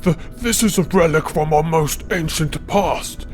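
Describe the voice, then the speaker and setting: A man's voice: a little high, measured pace, soft background music, pitched above the performer's own voice, lightly acted. A man voicing a pious, fearful sun priest who stammers and exclaims in offence in a fantasy audiobook. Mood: outraged, offended. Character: pious, hunched male sun priest, fearful, easily outraged.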